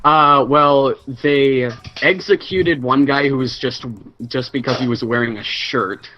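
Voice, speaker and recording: A man's voice: mid-range voice, unhurried, muffled recording. A man chatting casually as a player in a tabletop game, crude and joking. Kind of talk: casual chat. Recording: music behind the voice.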